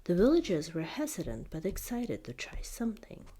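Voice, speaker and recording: A woman reading a children's storybook in ASMR style, soft-spoken and deliberate, often slipping into a whisper. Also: on the low side, wildly swooping pitch. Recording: clear background noise.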